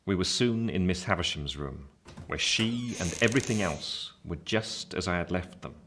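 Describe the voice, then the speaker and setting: A man's voice: sits low, moderate pace, fairly bright tone, a little hiss or hum. A man acting a reflective narrator who recalls his boyhood in a scripted radio drama. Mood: measured storytelling.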